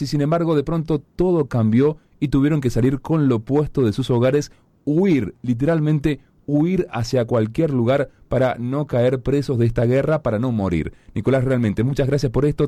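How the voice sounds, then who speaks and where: A man with an Argentine accent, hosting a radio interview in a reflective, measured way. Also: mid-range voice, mellow, no room sound. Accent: Argentine Spanish. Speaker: radio host.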